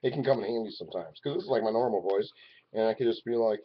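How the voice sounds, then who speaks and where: A man in his forties talking to a webcam in his living room, rambling and swinging from loud outbursts to low mutters. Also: fast talker, breathy edge. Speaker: vlogger. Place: living room at home, indoors. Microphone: webcam at arm's length. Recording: dull lo-fi webcam audio.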